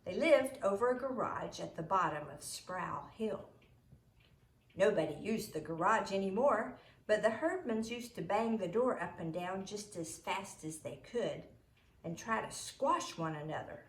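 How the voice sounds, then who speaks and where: Woman reading a storybook out loud, slow and really animated, kinda echoey room. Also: medium pitch. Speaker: parent reading a story aloud. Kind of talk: expressive storybook read-aloud.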